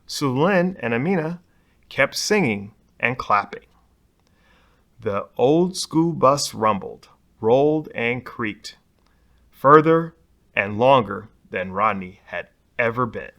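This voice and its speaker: A man's voice: mid-range voice, animated, slow, a bit bright. A man reading a children's picture book aloud expressively, putting dramatic emphasis on key words. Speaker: storybook reader.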